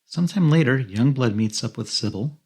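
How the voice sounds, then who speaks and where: A man's voice: mid-range voice, expressive, brisk, darkish tone, slightly rough. A man co-hosting a podcast, narrating a recap of a film's plot. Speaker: podcast co-host.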